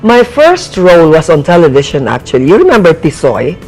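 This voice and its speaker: An older woman from Cebu with a Visayan accent and a low, husky bedroom voice, chatting poised and unhurried as a guest actress. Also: huge pitch swings, a little mellow, poor audio. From Cebu, Philippines. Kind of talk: casual interview chat.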